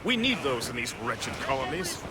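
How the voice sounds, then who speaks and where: An actor playing a jovial, idealistic first mate in a video game, with a genteel, hearty, theatrical delivery. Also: male voice, mid-range voice, sing-song, normal speed, very noisy, close to an everyday voice. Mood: earnest. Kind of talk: dramatic video game dialogue. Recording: background music under the voice.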